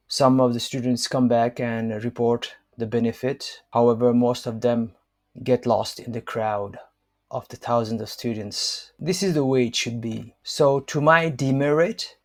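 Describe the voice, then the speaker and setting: A man in his fifties from Nepal, whose first language is Newari, reading his own book aloud in a measured, hesitant way with frequent fillers. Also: mid-range voice, darkish tone. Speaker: author and language counselor.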